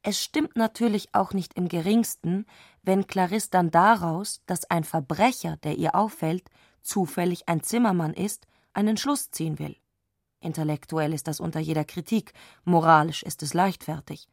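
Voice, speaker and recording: A woman reading scripted literary narration for a radio play with measured, precise diction. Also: on the low side, gravelly, no echo, studio quality.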